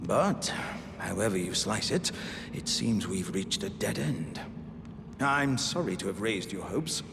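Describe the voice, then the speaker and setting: A man's voice: on the low side, lively intonation, measured pace, creaky, background noise. A man playing a jovial, polite, boastful living warrior jar in a video game, with hearty, courtly, old-fashioned phrasing. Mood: apologetic. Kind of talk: video game character dialogue.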